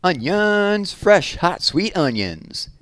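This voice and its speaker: A man's voice: high-pitched, sing-song, slow, fairly bright tone, no echo, studio quality, voice pushed up, full character voice. A man voicing a humble, easygoing onion seller in a storybook reading, with a folksy manner. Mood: calling out wares. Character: friendly, humble onion seller with a mule cart.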